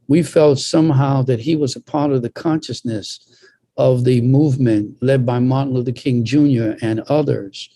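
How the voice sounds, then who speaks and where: A man's voice: medium pitch, moderate pace, dark tone, no room sound. An older American man from Boston earnestly sharing personal recollections as a panelist in a discussion. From Boston, United States.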